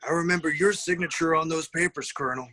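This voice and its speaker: Man acting stern official, sharp forceful tone, slightly high voice, accusatory, table read. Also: fast. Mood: scornful. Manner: cutting, accusatory. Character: stern, scornful male government official. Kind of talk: amateur table read of a film script.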